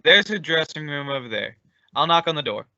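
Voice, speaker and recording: A performer playing a brisk, commanding, exasperated male straight man in a 1940s-style radio comedy duo. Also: medium pitch, lively intonation, vocal fry, bright, forceful tone, no room sound, highs cut off, exaggerated voice.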